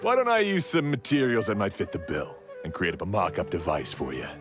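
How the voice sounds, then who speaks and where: An actor playing a skeptical, matter-of-fact blacksmith in a video game, heard over game music. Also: male voice, low-pitched, wildly swooping pitch, fairly quick. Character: male blacksmith in a game, proud of his craft. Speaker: game character. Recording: game audio with background music.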